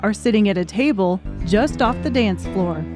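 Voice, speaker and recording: A woman's voice: mid-range voice, animated, moderate pace. Woman, audiobook storyteller, mid-range voice, scripted narration, instrumental music underneath.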